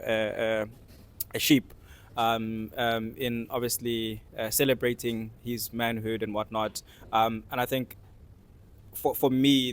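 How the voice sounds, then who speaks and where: A man with a South African accent explaining things casually as a panellist, in hesitant, halting phrases. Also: medium pitch, a bit bright, smooth. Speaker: discussion panellist.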